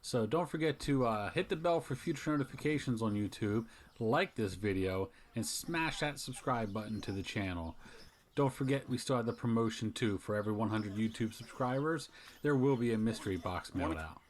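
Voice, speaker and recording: A man's voice: on the low side, lively intonation, moderate pace. A man hosting a picking vlog, narrating casually in a folksy, informal way. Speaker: host of a yard sale picking channel. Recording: music behind the voice. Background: music.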